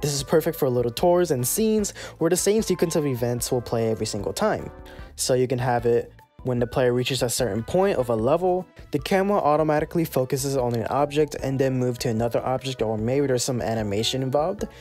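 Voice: medium pitch, a little fast, a little mellow. Tutorial narrator over background music. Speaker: tutorial host. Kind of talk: scripted software tutorial narration.

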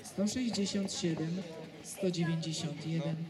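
A man announcing over a loudspeaker at an outdoor horse show, reading the details out formally. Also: on the high side, fairly even intonation, a little slow. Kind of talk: public address announcement. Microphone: PA loudspeaker heard from a distance. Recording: echoey PA heard from far off, crowd around.

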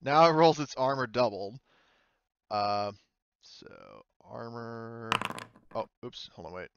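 A man's voice: mid-range voice, lively intonation, takes it slowly, muffled recording, heavy background noise. A man running an online tabletop game as game master, talking casually and hesitantly as he thinks aloud.

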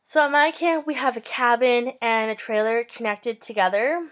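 A woman's voice: fairly high pitch, normal speed. A young woman telling a story to her webcam in a casual, upbeat vlog, gesturing as she talks from a small bedroom. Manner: chatty, upbeat, animated hand gestures. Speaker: vlogger. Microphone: webcam at close range.

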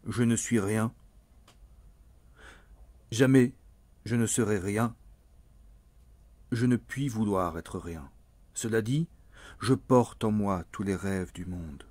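A man recites poetry in a dark, soft-edged voice. He takes his time over each phrase, solemn and theatrical, with deliberate pauses. The sound is dry, with no room around it. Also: lowish voice, dry recording.

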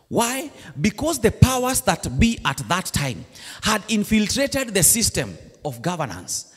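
A man with a Kenyan accent preaching a sermon in a church, switching between English and Swahili slang. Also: on the high side. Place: church hall. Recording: long church reverb.

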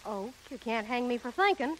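A woman's voice: a little high, lively intonation, normal speed, close to an everyday voice. An actress playing a brash, nosy, slangy showgirl friend in a 1930s radio drama. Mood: prying. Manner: slangy wisecracking. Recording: noisy, crackly 1930s radio recording.

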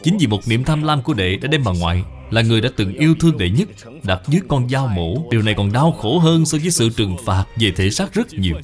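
A man's voice: medium pitch, animated, fast, close to an everyday voice. A man voicing a stern senior Buddhist brother in a cartoon, grave, instructive and reproving. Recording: music behind the voice.

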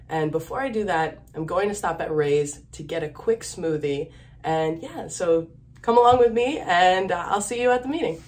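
A young woman in her twenties, a student, chatting upbeat to her phone camera in a dorm room. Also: fairly low pitch. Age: 20s. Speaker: student vlogger. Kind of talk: casual vlog narration.

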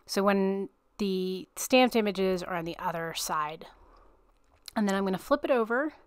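A woman hosting a card-making tutorial, talking through each step in a relaxed, chatty way with a self-deprecating touch. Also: medium pitch, unhurried, clear, resonant tone, no echo. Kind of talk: step-by-step craft tutorial narration.

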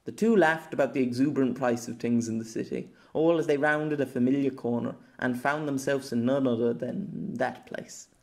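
Guy narrating a novella audiobook, mid pitched voice, reading from a script. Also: steady tone, normal speed, few pauses, darkish tone.

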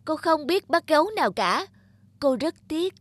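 A woman's voice: high voice, animated, moderate pace, no room sound, pitched above the performer's own voice. A woman voicing a kindly, grateful grown female rabbit in a children's cartoon. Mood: grateful, regretful. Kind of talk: children's cartoon storytelling dub.

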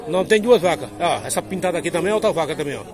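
Man, high voice, cheerful vlogger walking a busy outdoor cattle market, crowd behind. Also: animated, rapid-fire. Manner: upbeat, chatty, asks prices and praises the cows. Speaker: vlogger touring a cattle fair. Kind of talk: casual walk-and-talk interviews. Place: outdoor cattle fair among pens. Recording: outdoor phone recording with crowd around.

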